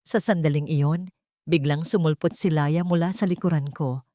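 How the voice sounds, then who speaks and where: Low-pitched woman narrating a dramatic story. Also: fairly even intonation, normal speed, warm tone, no echo. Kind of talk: dramatic scripted first-person narration.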